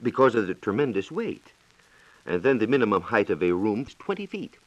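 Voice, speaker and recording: Male American science reporter, vintage radio: lowish, animated intonation, formal explanatory delivery, dull old recording. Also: no echo. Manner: formal, explanatory, measured diction. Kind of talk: scripted 1940s radio exposition.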